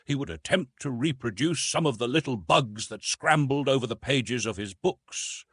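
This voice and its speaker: A man narrating a literary audiobook in an expressive, measured storytelling manner with dramatic emphasis. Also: mid-range voice, slightly rough, dry recording, clean studio sound.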